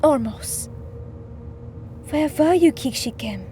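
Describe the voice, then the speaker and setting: A high-voiced, firm, reasoning mother. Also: lively intonation, warm tone, faint music behind. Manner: measured, formal phrasing. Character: patient, reasoning wife and mother who stands firm. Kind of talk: scripted audio drama acting.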